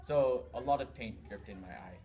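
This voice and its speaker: A man's voice: mid-range voice, moderate pace, dark tone, close to an everyday voice. A man playing a boastful Renaissance artist in an offhand way. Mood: smug.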